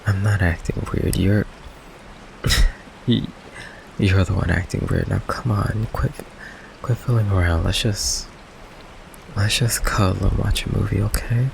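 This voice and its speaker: Gravelly, low-voiced nervous vampire boyfriend played by a man. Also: fairly even intonation, noisy background, full character voice. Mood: defensive, flustered. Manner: stammering, halting, nervous chuckles, evasive. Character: nervous, affectionate goth vampire boyfriend, evasive young man. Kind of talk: ASMR roleplay, intimate one-sided conversation. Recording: rain ambience behind close voice.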